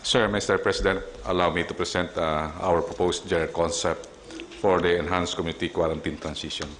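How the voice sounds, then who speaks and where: Deep-voiced Filipino man, echoey briefing room. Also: fairly even intonation, a little fast, fairly bright tone. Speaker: government official presenting a plan. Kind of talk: formal scripted briefing. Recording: echoey room with faint noise.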